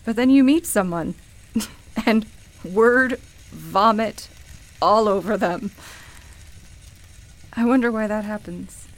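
An actress in a radio play voicing a guilt-ridden, grieving woman who confides in a halting, emotional way. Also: medium pitch, close to an everyday voice, rough recording. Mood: embarrassed confiding.

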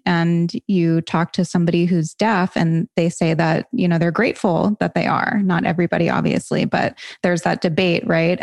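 A woman hosting an interview in a relaxed, conversational way, sharing her own experience and putting listener questions to her guest. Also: on the low side, creaky, no room sound, clean studio sound. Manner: relaxed, conversational, uses like and you know. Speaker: podcast host. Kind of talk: casual interview chat.

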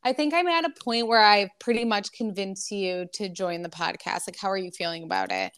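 A woman's voice: mid-range voice, big pitch swings, brisk, a bit bright, clear, resonant tone. A woman in her thirties hosting a podcast, chatting casually with many fillers and restarts. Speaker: podcast host. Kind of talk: casual chat.

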